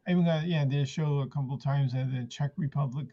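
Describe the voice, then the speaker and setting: A man's voice: medium pitch, steady tone, fast. A man from Argentina, chatting casually as a comedian guest, hesitant with many fillers. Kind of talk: casual chat. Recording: dull lo-fi call audio.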